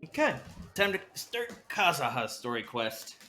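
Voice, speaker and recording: A man's voice: fairly high pitch, very animated, measured pace, bright, forceful tone, a little hiss or hum. A man doing casual livestream game commentary with wry asides, sometimes reading dialogue aloud. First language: English. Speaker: game streamer.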